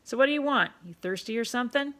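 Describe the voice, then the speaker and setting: A woman's voice: fairly high pitch, lively intonation, fast talker, a bit bright, pitched above the performer's own voice. A woman doing a brusque, coarse pub owner. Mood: gruff, bossy. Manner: rough, ungrammatical talk, bossy.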